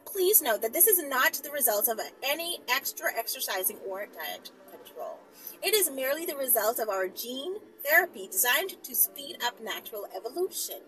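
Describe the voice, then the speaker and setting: A woman's voice: a little high, a bit bright, poor audio. A woman reading the part of a government scientist who formally announces research findings in a storytime tale.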